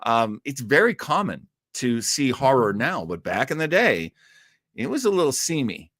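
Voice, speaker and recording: A man's voice: medium pitch, very animated, normal speed, fairly bright tone, no echo, clean studio sound. A man from the United States chatting casually and enthusiastically as host of a live listening show, rambling into asides. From United States, outside Boston. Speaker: host of an old-time radio listening show.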